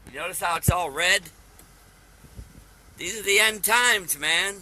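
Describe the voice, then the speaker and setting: A man's voice: sits high, unhurried, bright, forceful tone, a little hiss or hum, poor audio. A man in his fifties giving a rambling religious vlog monologue, earnest and insistent, with folksy phrasing that keeps addressing listeners directly. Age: 50s. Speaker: video channel host.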